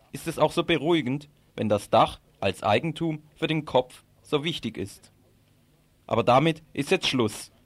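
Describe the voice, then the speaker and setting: A man's voice: fairly high pitch, dry recording. A man reading scripted radio commentary and putting questions as a presenter and interviewer.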